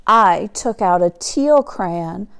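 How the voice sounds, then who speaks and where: A woman teacher reading a children's story aloud in a dramatic, expressive manner, drawing out key words for emphasis. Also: medium pitch, slow, gravelly, clean tone. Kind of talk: animated picture-book read-aloud.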